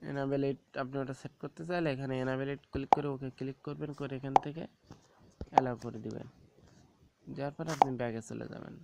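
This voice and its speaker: A man gives an informal, halting step-by-step phone app tutorial, often repeating himself. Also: mid-range voice, steady tone, gravelly. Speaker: tech tips tutorial host. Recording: very noisy, rooster crowing and clicks behind.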